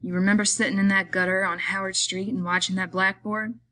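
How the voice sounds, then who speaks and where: A woman playing a small, irritable, weary male migrant worker in an audiobook, scolding in rough, rustic speech. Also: mid-range voice, little pitch movement, a little fast, pushed and bright, lightly acted. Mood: exasperated.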